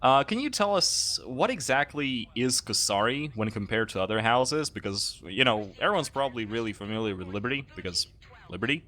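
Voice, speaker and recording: A man's voice: medium pitch, expressive, brisk, pushed and bright. A young man hosting a casual interview chat, joking and swearing easily, with a lot of bounce in his voice. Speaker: channel host.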